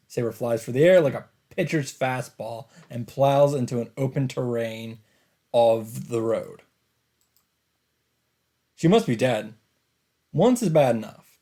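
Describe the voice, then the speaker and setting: A man's voice: mid-range voice, unhurried, warm tone. A man let's player reading visual novel narration dramatically, with casual commentary of his own. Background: game music.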